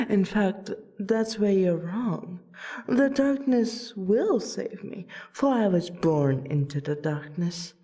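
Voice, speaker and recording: A woman playing a courteous royal vampire and loyal friend in a fantasy audio roleplay, with a polite, theatrical, deliberate delivery. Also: medium pitch, animated, warm tone. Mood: confident, sly.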